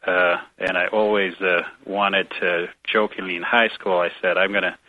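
A middle-aged man with a Romanian accent and a very deep voice, talking slowly through his story with lots of fillers and restarts. He comes through a tinny, dry phone line with a narrow, thin sound. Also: level tone, no echo. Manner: hesitant, many fillers and restarts. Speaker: guest, foundation president. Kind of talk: casual interview conversation.

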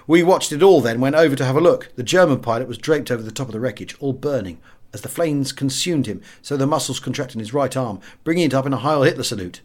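Casting: callous young English RAF-style pilot played by a male audiobook reader, brisk, bitter and hard-edged. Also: mid-range voice, darkish tone, close to an everyday voice. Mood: callous, matter-of-fact. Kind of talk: audiobook character dialogue.

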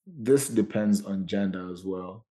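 A man's voice: low-pitched, unhurried, mellow. A man co-hosting a podcast in casual debate, often restarting sentences as he reasons aloud. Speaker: podcast co-host.

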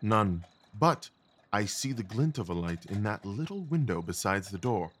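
A man playing a confident, genial Victorian gentleman detective in an audio drama, assured, wry and articulate. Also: fairly low pitch, big pitch swings, normal speed. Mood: inquisitive.

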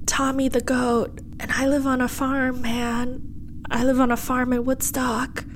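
Cast: woman playing relaxed old farm goat, stoner drawl, slightly high, light room hiss. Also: unhurried. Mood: chill. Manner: mellow, says "man" a lot. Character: laid-back old male goat, stoner vibe. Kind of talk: improvised comedy.

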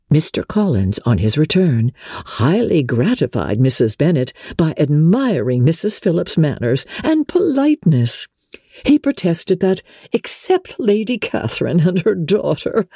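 A woman giving a dramatic, measured audiobook reading of a classic novel, with theatrical emphasis as narrator. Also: deep voice, dark tone, slightly rough, no room sound, studio quality.

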